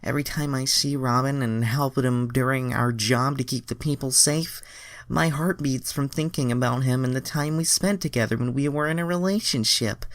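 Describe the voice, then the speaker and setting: A man voicing an earnest, naive young alien woman in falsetto, with stilted formal phrasing and a Southern US twang. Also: normal speed, no room sound, studio quality, close to an everyday voice. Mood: wistful, earnest.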